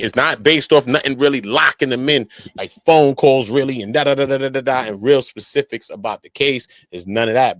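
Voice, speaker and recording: A man's voice: low voice, dry recording. An American man doing casual street-slang commentary on criminal cases, speaking in an emphatic, repetitive, slangy way. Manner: repetitive verbal tags, emphatic slangy delivery. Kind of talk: casual street-slang commentary, reading documents aloud at times.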